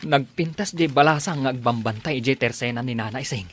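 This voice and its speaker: A man's voice: mid-range voice, fairly quick, faint music behind, natural voice. A man with an Ilocano accent playing a smitten, bashful young suitor in an audio drama, hesitant and stammering. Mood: smitten, eager. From Philippines.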